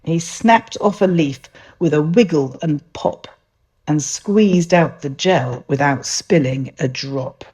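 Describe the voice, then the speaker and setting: A woman reading a rhyming children's picture book aloud in a gentle, expressive storytelling manner. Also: low voice, slow.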